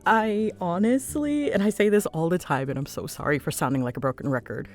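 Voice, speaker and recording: A woman's voice: lowish voice, fairly quick, soft background music. A woman chatting casually and unscripted as she answers fan questions, rambling and self-deprecating, often breaking off mid-sentence. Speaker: audio roleplay creator answering fan questions.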